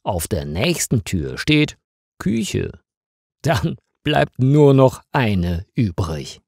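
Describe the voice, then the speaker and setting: A man narrating a scripted story for language learners in a deliberate, expressive and carefully phrased way. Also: medium pitch, vocal fry, breathy edge, no room sound, studio quality.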